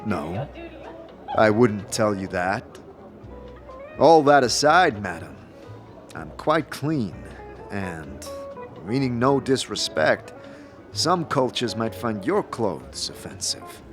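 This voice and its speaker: A man playing a rough, well-spoken wanderer with a polite, wry manner in a fantasy audio drama. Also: lowish voice, very animated, slow, pauses often. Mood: wry, challenging. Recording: music under the voice.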